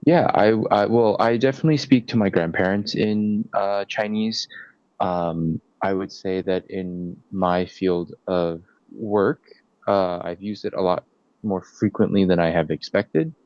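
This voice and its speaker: A young man in his twenties answering interview questions casually, pausing often with fillers. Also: low-pitched, darkish tone, dry recording. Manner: hesitant, many fillers and restarts. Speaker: interviewee, nursing student. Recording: dull, dry lo-fi sound.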